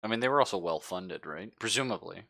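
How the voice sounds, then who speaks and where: A man narrating casually as game master of a tabletop game, in an informal, halting way with pauses. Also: low-pitched, animated, vocal fry, fairly bright tone.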